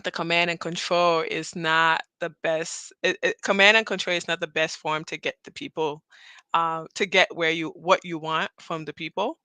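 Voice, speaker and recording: A woman's voice: deep voice, steady tone, normal speed, sharp tone, clean tone, dry recording. A woman from Cameroon hosting a live audio chat interview, chatty and rambling, laughing often. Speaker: host.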